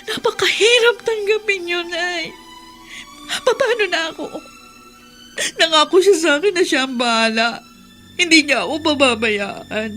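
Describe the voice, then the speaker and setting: A woman's voice: extremely high pitch, a little slow, long pauses, fairly bright tone, soft background music. A woman playing a wounded, defiant young daughter in a radio drama, with an emotional, melodramatic delivery. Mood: tearful, despairing. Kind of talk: Tagalog radio drama acting.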